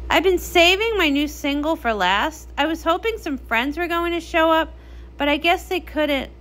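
A woman's voice: sits high, lively intonation, moderate pace, fairly bright tone, voice pushed up. A woman voicing a bubbly, bossy young pony pop princess, perky and emphatic, in a cartoon comic read-along. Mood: showy.

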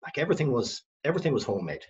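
Husky Irish man on a video call. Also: medium pitch, big pitch swings, racing, a little mellow, lo-fi sound. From west of Ireland. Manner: rambling, self-correcting storyteller. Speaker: company director, guest. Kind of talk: casual video-call interview. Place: home room.

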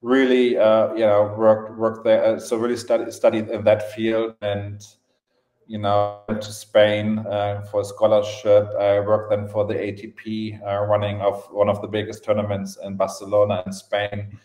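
A man with a German accent telling his story casually as a podcast guest, in halting phrases with repeated words. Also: fairly low pitch, monotone, darkish tone. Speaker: podcast guest, events entrepreneur. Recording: roomy, echoing podcast audio.

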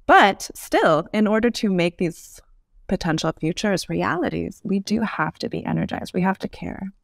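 A woman in her thirties explaining ideas as an interview guest, articulate and measured, with polished professional phrasing. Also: mid-range voice, expressive, vocal fry, no room sound, studio quality. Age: 30s. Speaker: podcast guest.

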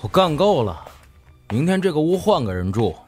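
A man puts on an arrogant young gangster from a wealthy family in an audiobook. He is curt, menacing and contemptuous, with a pitch that leaps around over faint music. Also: very animated, moderate pace, husky. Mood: cold anger. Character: violent, arrogant young gangster rich boy. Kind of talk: audiobook character acting.

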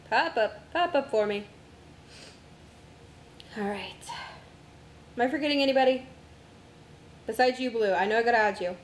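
A young woman streamer chatting casually with friends while setting up a game, her voice going hoarse from a long day of talking. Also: a little high, echoey room, slight background noise. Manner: distracted, talks to herself while navigating menus.

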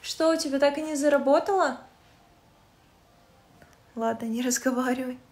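A woman in her twenties from Russia with a Russian accent, chatting casually with live-stream viewers from her phone at home, softly thanking them and answering questions. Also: high voice, normal speed. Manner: soft, friendly, thanking viewers and reading chat. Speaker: livestreamer. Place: indoors, bedroom. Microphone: phone at arm's length.

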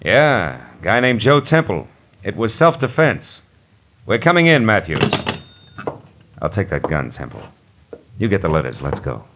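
An actor playing a tough, terse private detective, clipped and wry, in 1950s radio noir. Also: male voice, deep voice, huge pitch swings, a little slow, pauses often, background noise, exaggerated voice. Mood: brisk. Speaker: lead detective and narrator. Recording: old 1950 radio recording.

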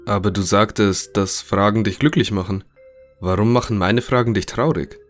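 A man's voice: lowish voice, fairly even intonation, moderate pace, faint music behind, natural voice. A man playing a courteous, inquisitive scientist conducting an interview in an audio drama, matter-of-fact. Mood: probing.

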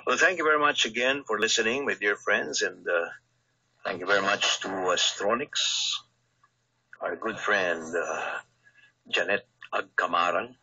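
A man with a husky voice, speaking as a priest on a religious radio programme, heard through a radio speaker. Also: fairly low pitch, a little slow, pushed and bright. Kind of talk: religious radio talk with blessing. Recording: muffled radio speaker re-recorded on camera.

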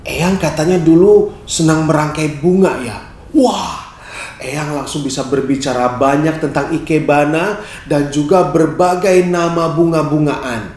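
A middle-aged man presenting instructions to camera in an expressive, clearly phrased storyteller's delivery. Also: a little high. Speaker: storyteller and caregiver giving a tutorial. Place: small bright living room, indoors, seated on a sofa. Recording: echoey room.

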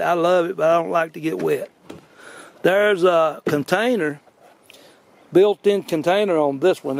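A man's voice: fairly high pitch, creaky, slight background noise. Folksy older man outdoors. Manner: rambling, folksy, self-correcting. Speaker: vlog host. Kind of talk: casual outdoor demo. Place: outdoors on a grassy yard.

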